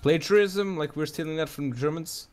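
A man in casual gaming banter, talking in halting, hesitant bursts. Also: fairly high pitch, a little mellow. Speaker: video game player.

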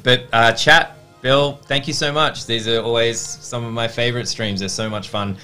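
A man's voice: medium pitch, fairly even intonation, moderate pace, bright, forceful tone, clean tone, faint music behind. A young man hosting a livestream, chatty and upbeat with many fillers, on a headset mic. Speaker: stream host. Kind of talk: casual livestream chat. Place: home studio with acoustic foam.

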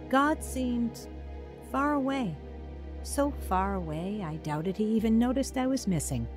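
A woman playing a narrator who looks back on her troubled youth in measured, reflective audio drama storytelling. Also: mid-range voice. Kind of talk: scripted first-person dramatic narration.

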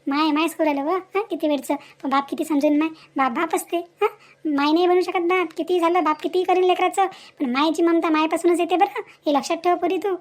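A woman's voice: very high voice, fairly even intonation, fairly quick. A woman voicing a scolding, motherly village neighbour in a cartoon moral story, with a rural Varhadi Marathi accent and an emphatic, admonishing manner full of rhetorical questions. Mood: earnest admonishing. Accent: rural Maharashtra, Varhadi Marathi.

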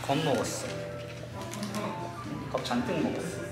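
A man's voice: mid-range voice, lively intonation, unhurried. A man vlogging in a dog cafe, joking with the dogs in mock threats and cooing at them. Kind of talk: casual chat with dogs. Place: large indoor dog cafe. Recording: echoey room, mic far off, loud music.

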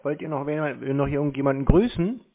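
A man's voice: a little high, a little fast. A man who banters on the phone in a brusque, sarcastic, mocking way, needling the caller. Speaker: scambaiting host taking scam calls. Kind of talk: teasing, confrontational phone banter. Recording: tinny, band-limited phone line.

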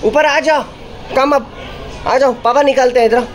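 A man's voice: extremely high pitch, fairly even intonation, normal speed, some chatter behind, poor audio. A man, a father filming his small child in an indoor mall play zone on a phone, coaxing and cheering her on with short repeated words. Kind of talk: casual vlog commentary. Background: loud music in the play area.

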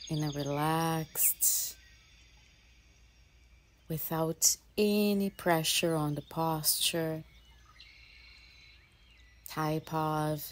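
Slow, low-voiced woman guiding a meditation. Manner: soothing, gentle, with long pauses between short phrases. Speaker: yoga and mindfulness teacher leading a guided meditation. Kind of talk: guided meditation. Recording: faint ambient noise with birdsong. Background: birdsong and nature ambience.

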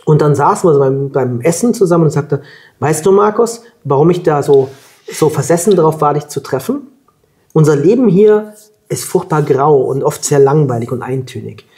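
A man from Germany in his forties, telling lively travel anecdotes as the guest at a table interview, on a lapel mic. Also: fairly high pitch, normal speed. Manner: lively anecdotal storytelling, colloquial. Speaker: guest, long-distance scooter traveller. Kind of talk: storytelling in a sit-down interview. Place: room with plants at a table.

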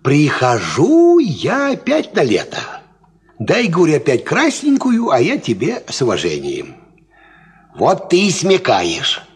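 An actor playing a worldly, cocksure peasant farmhand, explaining things in folksy fashion in a 1950s Soviet radio play. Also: male voice, a little high, a little slow, breathy edge, exaggerated voice. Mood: knowing. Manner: folksy, animated, instructive.

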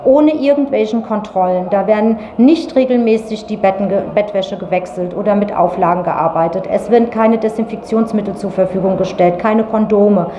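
A woman's voice: mid-range voice, normal speed, hardly any pauses, dark tone. A middle-aged woman giving a serious spoken statement in an interview. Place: tiled room indoors. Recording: echoey tiled room, faint noise.

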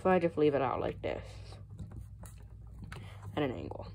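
A young American woman with a low-pitched voice talks casually through a show-and-tell. She is recorded on a handheld phone in a room at home, with faint noise behind her. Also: very animated, moderate pace, creaky, a little mellow, rough recording. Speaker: hobbyist vlogger showing a collection.

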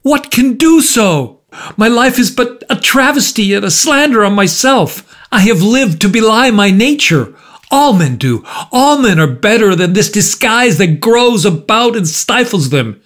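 A man's voice: high-pitched, sing-song, measured pace, a bit bright, pitched above the performer's own voice, full character voice. A man playing a tormented, defiant murderer in a storybook reading, crying out in impassioned, rhetorical pleas. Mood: anguished. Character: anguished male murderer, defiant, tormented, pleading.

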